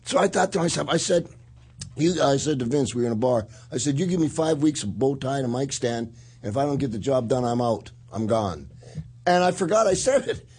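A man's voice: medium pitch, lively intonation, brisk, darkish tone, husky. An older man hosting a podcast, telling swaggering anecdotes in a casual chat with frequent laughter. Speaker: podcast host.

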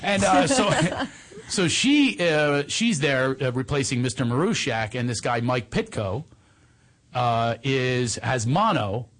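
Deep-voiced man telling lively comic stories. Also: slow. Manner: animated, laughs often, acts out voices. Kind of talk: comic storytelling chat.

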